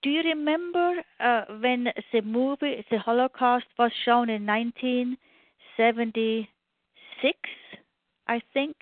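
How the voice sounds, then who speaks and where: An older woman with a German accent talks as a radio guest, pausing and restarting often. Her voice sits a little high. It comes through a dry, tinny phone line. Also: dry recording. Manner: storytelling with many fillers and restarts. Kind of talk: interview conversation.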